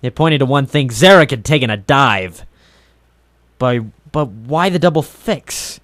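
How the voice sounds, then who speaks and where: Tough cynical 40s radio detective, clipped wisecracks, dry close sound. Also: male voice, mid-range voice, animated, measured pace, a bit bright, dry recording. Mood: wry, brooding. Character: hard-boiled, wisecracking male private eye of the waterfront. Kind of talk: 1940s radio noir acting and narration.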